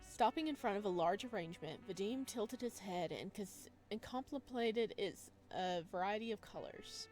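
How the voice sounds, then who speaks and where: A woman reading a visual novel aloud as a let's player, mixing expressive narration with casual reactions. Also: medium pitch, moderate pace, few pauses, soft background music.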